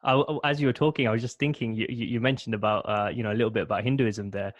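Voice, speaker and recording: A man's voice: fairly low pitch, fairly even intonation, fast talker, no room sound, dull audio. Thirties guy hosting a podcast, stammers a bit, close mic, dead dry sound. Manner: thoughtful, stammering restarts. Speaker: podcast host. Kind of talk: interview questions. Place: small room at home. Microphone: studio mic close up.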